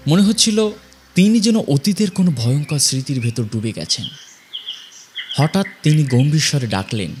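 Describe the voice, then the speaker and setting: A man narrating a scripted ghost story in a suspenseful, measured way with dramatic pauses. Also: on the high side, animated, noisy background.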